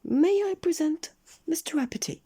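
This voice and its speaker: A man's voice: extremely high pitch, moderate pace, mellow, pitched above the performer's own voice. A man voicing a small, cheeky male goblin who teases in a sing-song way, in a children's storybook. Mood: sly, chirpy.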